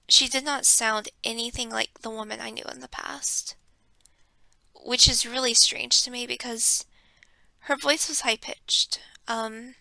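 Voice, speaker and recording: Young woman vlogger, hushed storytelling, sharp bright tone with gravelly edges, dry close mic. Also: a little high, dry recording. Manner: hushed and reflective, with many ums. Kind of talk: personal storytelling vlog. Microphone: close desk microphone.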